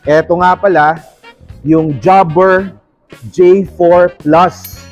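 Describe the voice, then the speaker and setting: Man, Filipino accent, high voice, salesman-like, playful vlogger chat, English terms mixed in, background music. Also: fairly even intonation, very slow, muffled recording. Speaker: vlogger presenting a product unboxing. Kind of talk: casual product review chat.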